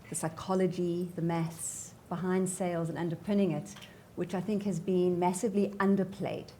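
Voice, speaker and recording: A woman's voice: fairly low pitch, a little mellow, slight background noise. A woman from South Africa with a South African accent, a CEO and sales coach speaking confidently on a panel. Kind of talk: panel self-introduction.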